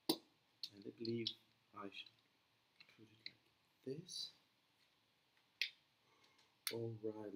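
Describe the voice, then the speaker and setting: Swedish man, low-ish voice, chatty live-streamer, echoey home room, pieces clicking. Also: very noisy. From Sweden. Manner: chatty, rambling, reads and answers viewer comments. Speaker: livestream host building a model kit. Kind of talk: casual live-stream chat while building.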